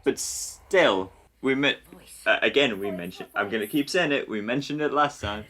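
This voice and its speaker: A man's voice: medium pitch, moderate pace, pushed and bright. A man giving jokey, opinionated commentary in a casual group chat over a film. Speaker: panelist on a film watch-along.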